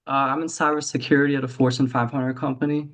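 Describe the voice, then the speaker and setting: A man in his thirties, a returning contestant, answering briefly and relaxed, with small fillers. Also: medium pitch, quick pace. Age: 30s. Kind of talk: casual chat.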